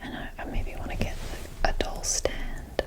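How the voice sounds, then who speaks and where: A woman doing casual, unscripted ASMR in a breathy whisper, chatting in a rambling, gushing way close to the mic. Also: a little slow. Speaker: ASMR video creator.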